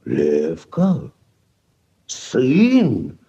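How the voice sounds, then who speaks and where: A man's voice: mid-range voice, wildly swooping pitch, very slow, gravelly, dark tone, slightly rough, muffled recording, full character voice. An actor playing a bossy middle-aged village headman, pompous and barking, in theatrical 1940s radio style. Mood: outraged. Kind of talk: 1940s Soviet radio acting.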